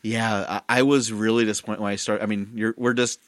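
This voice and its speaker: A man's voice: lowish voice, flat delivery, a little fast. A man co-hosting a casual movie review podcast, a bit tipsy, rambling and stumbling over his words as he jokes. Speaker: podcast co-host.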